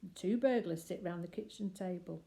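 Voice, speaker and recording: An older woman reading a picture book aloud as expressive children's story narration. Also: fairly low pitch, moderate pace, vocal fry.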